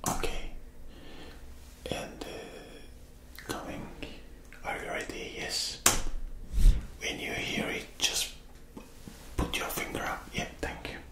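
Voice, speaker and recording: A man, around his thirties, speaking in a soft, breathy whisper, gently reassuring a patient in an ASMR medical roleplay. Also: takes it slowly, long pauses, echoey room, low-quality recording. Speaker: ASMR performer playing a doctor.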